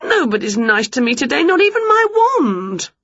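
Lady doing a dramatic huffy witch from a kids story, high n swoopy, exclaims everything. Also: wildly swooping pitch, takes it slowly, breathy edge, no room sound, lo-fi sound, exaggerated voice. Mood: upset. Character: excitable, dramatic, easily huffy female witch. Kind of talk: children's storybook character voice.

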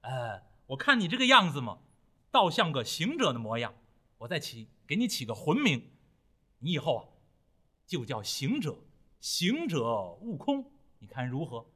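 A man's voice: a little high, wildly swooping pitch, moderate pace, sharp tone, husky, voice pushed down, exaggerated voice. A man voicing a timid, easily startled monk master in an oral tale, solemn and fretful. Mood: approving, measured.

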